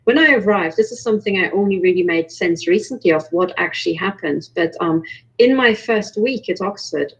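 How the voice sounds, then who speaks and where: A woman's voice: fairly low pitch, husky, low-quality recording. A woman from Romania, a guest on a video call roundtable who talks earnestly and often repeats words as she starts a sentence. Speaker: panel guest. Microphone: video call microphone.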